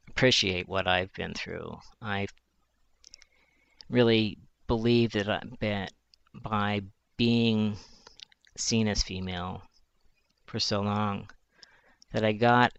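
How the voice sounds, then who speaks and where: A man in his forties talks through a personal story in a slow, searching way, with pauses and restarts. His voice is high for a man, yet it stays low and flat, with a gravelly edge. The recording is dry and dull, like a lo-fi mic. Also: no echo. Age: 40s. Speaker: journalist. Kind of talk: reflective personal monologue.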